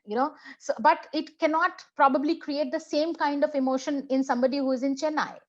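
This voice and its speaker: A woman with an Indian English accent, whose first language is Hindi, speaking as a conference speaker in a reflective, halting way full of fillers and restarts. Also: high-pitched, steady tone, lo-fi sound. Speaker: plenary speaker, English professor. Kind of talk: conference talk answering a question.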